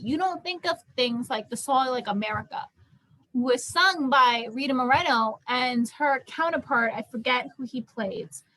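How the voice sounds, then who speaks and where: Young woman, high voice, casual group discussion leader, emphatic, conversational. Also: a bit bright, low-quality recording. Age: young adult.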